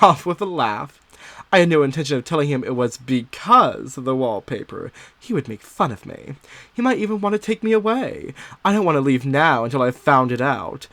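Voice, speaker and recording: A man playing an unsettled, secretive nineteenth-century woman diarist growing obsessed, in a theatrical, confiding dramatic monologue with drawn-out emphasis. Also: medium pitch, fairly bright tone, no echo. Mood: excited, confiding. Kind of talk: dramatic first-person monologue, audio theatre.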